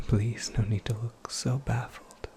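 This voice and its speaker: A performer voicing an eccentric, absent-minded witch in a breathy, creaky ASMR roleplay, rambling with wry, cryptic politeness. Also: medium pitch, flat delivery, slow pace, darkish tone. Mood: politely whimsical. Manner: rambling, whimsical, politely formal, self-correcting. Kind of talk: soft-spoken ASMR roleplay monologue.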